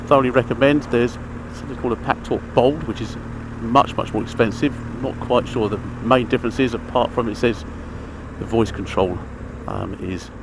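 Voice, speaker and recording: A man giving a casual, rambling, matter-of-fact gear chat as a motorcycle vlogger. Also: on the low side. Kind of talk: casual chatty gear review while riding. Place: outdoors inside a helmet on a motorbike. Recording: engine, traffic and outdoor noise, helmet mic.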